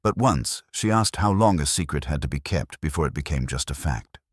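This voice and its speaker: A man voicing a calm, candid village woman in an audio mystery story, plain-spoken. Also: rumbling low, a little fast, no echo, studio quality.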